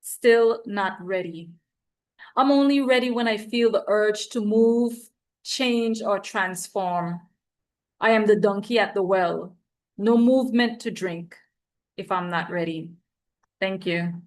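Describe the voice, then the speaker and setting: Medium-pitched woman poet from the Virgin Islands. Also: slow pace. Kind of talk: poetry reading and informal talk.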